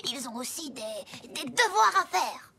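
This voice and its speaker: A woman's voice: sits high, sing-song, a little slow, gravelly, pushed and bright, slight background noise, exaggerated voice. A woman voicing a wry, playful young schoolboy in a children's cartoon, with a casual, slightly grumbly, cheeky manner. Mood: wry, grumbling.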